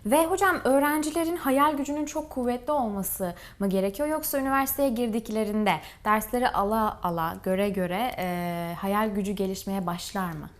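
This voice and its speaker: A woman hosting a conversational interview, deferential to her guest and quick to agree. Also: medium pitch. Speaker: interviewer, host.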